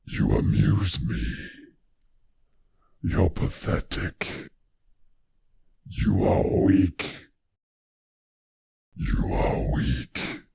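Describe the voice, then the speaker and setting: A man voicing a huge, haughty, menacing dragon for fantasy game lines, in a slow, gravelly, raspy growl. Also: low voice, warm tone, no room sound, heavily acted. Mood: contemptuous. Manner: slow, drawn-out, menacing delivery. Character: huge menacing male dragon guarding his hoard, haughty and threatening.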